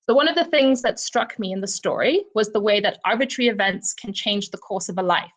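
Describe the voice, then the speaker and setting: A woman's voice: mid-range voice, a little fast. Woman from Zimbabwe, professor at a book club, thoughtful and personal, leaning on ums and you knows, heard over a video call. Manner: reflective, personal, frequent "um" and "you know" fillers. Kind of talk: virtual book discussion talk.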